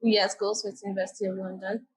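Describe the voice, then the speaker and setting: A woman's voice: medium pitch, little pitch movement, fast talker, husky. Young woman, chatty. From Nigeria. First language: Yoruba. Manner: chatty, many "like" fillers. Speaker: guest. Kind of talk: casual interview talk.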